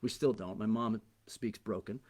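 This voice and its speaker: A man's voice: on the low side, fairly even intonation, normal speed, darkish tone. A man from Canada whose first language is Italian, chatting casually as a comedian guest in a self-deprecating, joking manner. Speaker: podcast guest, comedian and actor.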